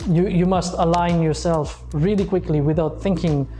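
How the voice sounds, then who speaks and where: A man's voice: a little high, steady tone, normal speed, warm tone. A man in his forties from France with a French accent, telling his life story in an interview, hesitant with many fillers. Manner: hesitant, frequent uh fillers, simple phrasing. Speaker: entrepreneur. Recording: faint background music.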